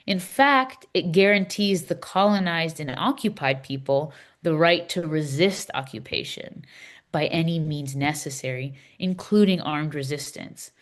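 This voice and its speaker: A woman performs an impassioned Palestinian woman in a scripted readers theater dialogue. Her voice sits a little low for a woman. She makes her case earnestly, in emphatic, declarative statements. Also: a little slow. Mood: earnest, indignant. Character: impassioned Palestinian woman explaining a church document.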